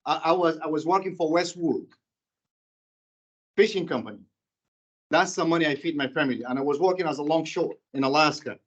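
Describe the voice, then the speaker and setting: Impassioned Sudanese-accented man, slightly high. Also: lo-fi sound. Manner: impassioned, halting, with many restarts and repetitions. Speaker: public commenter. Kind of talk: public comment at a board meeting.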